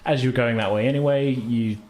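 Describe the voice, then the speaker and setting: A man's voice: medium pitch, fairly even intonation, fast talker, a little mellow. A man hosting a tabletop role-playing game as game master, narrating in a casual, loosely improvised way. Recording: noisy room ambience.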